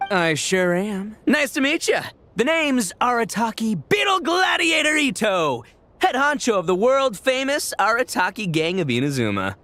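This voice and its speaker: A man voicing a brash, boastful male gang leader in a game, loud and swaggering with slangy talk. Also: very high voice, very animated, moderate pace, full character voice. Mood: cocky. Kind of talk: game cutscene voice acting. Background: game music.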